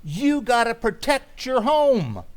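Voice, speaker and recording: An actor playing a bossy, meddling buddy in an old-time radio comedy, talking in an emphatic, goading way. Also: male voice, very high voice, sing-song, takes it slowly, full character voice. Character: bossy, meddling male buddy egging on his friend.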